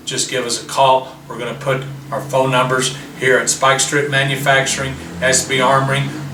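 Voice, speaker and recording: A man's voice: mid-range voice, pushed and bright. Man explaining gear in a roomy space. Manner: plain step-by-step explaining. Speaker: product presenter. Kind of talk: product demonstration. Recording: roomy, distant mic with faint noise.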